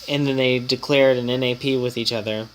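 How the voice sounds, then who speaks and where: A man's voice: mid-range voice, fairly even intonation, brisk, rough recording. Male gaming vlogger, slightly congested and nasal, rambling casual delivery, faint background hiss. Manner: rambling, chatty, easily startled. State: slightly nasal from a coming cold. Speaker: gaming channel host and guild leader. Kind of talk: casual mobile game commentary vlog.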